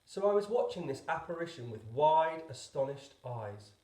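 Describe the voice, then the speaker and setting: A man narrating a storybook as a teacher would, expressively, with deliberate pauses. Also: a little high, big pitch swings, mellow, reverberant. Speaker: teacher reading a book aloud.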